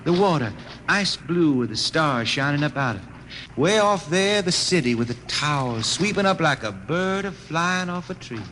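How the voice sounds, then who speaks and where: Folksy, high-voiced blind space drifter. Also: male voice, animated, moderate pace, a bit bright. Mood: dreamy, wistful. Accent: rural Ozarks American. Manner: folksy, wistful. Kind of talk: 1950s radio acting. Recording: noisy old 1950s radio broadcast.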